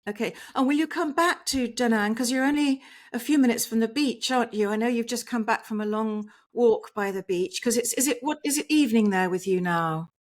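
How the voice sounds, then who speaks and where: Casual podcast host, woman, higher voice, speaking over a video call. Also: fairly quick, hardly any pauses. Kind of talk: online interview. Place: home in England.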